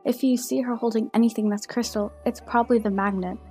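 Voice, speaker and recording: A woman's voice: a little high, fairly even intonation, fast talker, dark tone, faint music behind, close to an everyday voice. A woman playing a knowledgeable scholar of magic in an amateur fan film, explaining things with assurance. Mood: assured.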